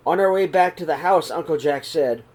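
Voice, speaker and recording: A middle-aged man reading a children's picture book aloud in a small room, slow and expressive. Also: fairly high pitch. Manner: reads slowly and expressively, voices the characters' calls. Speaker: storybook reader. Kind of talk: read-aloud storytelling for children.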